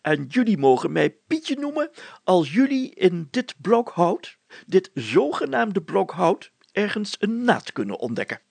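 A man's voice: high-pitched, big pitch swings, unhurried, creaky, husky, no room sound, pitched above the performer's own voice, exaggerated voice. A man voicing a pompous, easily flustered older mayor in a children's story, stammering and over-articulating as he goes. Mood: excited, hasty. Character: fussy, flustered, pompous older male mayor reading aloud. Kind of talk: children's audio story character voice.

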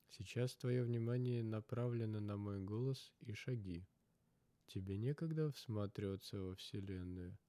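A man voicing a reflective, philosophical man in a story, speaking in a measured, contemplative way. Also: lowish voice, warm tone, no room sound, close to an everyday voice. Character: reflective, philosophical man musing on inner worlds.

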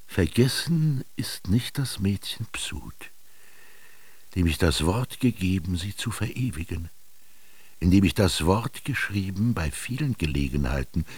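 An actor playing an old Egyptian master scribe in a radio drama, solemn and pompous, declaiming with drawn-out words. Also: male voice, sits low, slightly rough, dry recording, heavily acted. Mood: solemn, incantatory. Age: older. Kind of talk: German radio drama monologue. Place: studio.

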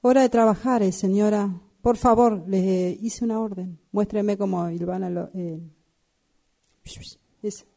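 A woman acting as a bossy, scornful workshop boss in an improvised radio drama, curt and quick to interrupt. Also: medium pitch, steady tone, vocal fry, mellow. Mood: impatient, ordering.

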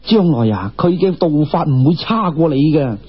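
A man with a Cantonese accent voicing a calm, wise female immortal mentor in a folk-tale audiobook, measured and admonishing. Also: a little high, breathy edge, dull audio. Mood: consoling.

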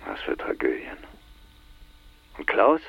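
An actor playing a blunt, shaken middle-aged man probing an old friend in naturalistic radio drama, with a gravelly, husky, expressive voice. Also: extremely low pitch, takes it slowly, dry recording, narrow, tinny audio, exaggerated voice.

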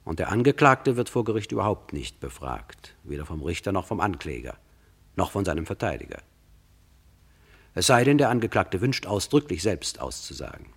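Male narrator, standard German accent, deep register. Formal, deliberate storytelling for a radio crime drama. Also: very animated, husky. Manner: measured, formal, deliberate storytelling with rhetorical questions.

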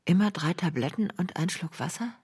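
An actress playing a frail, resigned, calm mother in a radio drama, husky-voiced. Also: on the low side, brisk, heavily acted.

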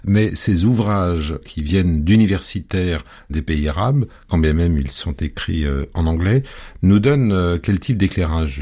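A male radio host with a very deep, dark voice and a husky grain. He puts his questions in a halting way, full of hesitations and restarts. The voice sits low and mellow throughout. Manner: halting, many fillers and restarts. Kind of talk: radio interview.

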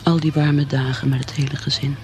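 An actress playing a troubled middle-aged mother in denial, speaking her hushed inner thoughts in a radio drama. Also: a bass voice, flat delivery, a little fast. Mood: wistful. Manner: hushed, introspective, sometimes whispering.

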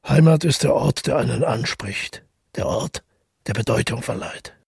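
An actor playing a withdrawn, middle-aged widower who tells his story in a radio drama, husky and gravelly, reflective and measured. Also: medium pitch, a little mellow, no echo, clean studio sound. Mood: earnest. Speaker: narrator and protagonist. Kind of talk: literary radio drama monologue.

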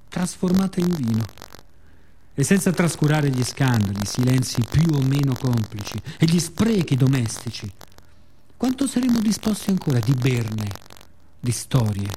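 A man delivers a scripted radio theatre monologue as a storyteller, in a measured, reflective, dramatic way with pauses. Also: a little high, a little mellow, slight background noise.

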